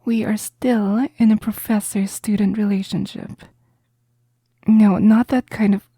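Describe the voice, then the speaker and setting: Breathy, slow-talking caring female professor, acted by a woman. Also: mid-range voice, fairly even intonation, no room sound, clean studio sound. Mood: soft reassurance. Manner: gentle, reassuring, unhurried. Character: caring, understanding female math professor counselling a student. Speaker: roleplay audio performer. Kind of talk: soft-spoken roleplay audio, whispery.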